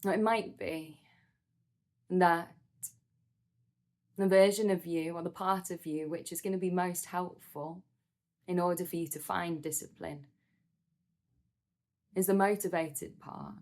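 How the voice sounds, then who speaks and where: A woman leading a guided meditation in a soothing, gentle manner, with measured instructions and pauses. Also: on the low side, steady tone.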